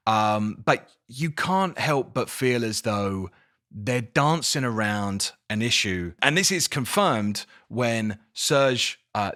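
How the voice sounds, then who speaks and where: An English man giving a casual spoken book review, expressive, with dramatic emphasis and incredulous questioning. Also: medium pitch. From England.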